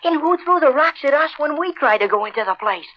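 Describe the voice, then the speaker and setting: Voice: high-pitched, expressive, fairly quick. An actor playing a keen young boy sidekick in an old radio adventure serial, earnest and exclamatory. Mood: puzzled. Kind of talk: 1930s-40s radio serial acting. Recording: dull old radio recording, faint noise.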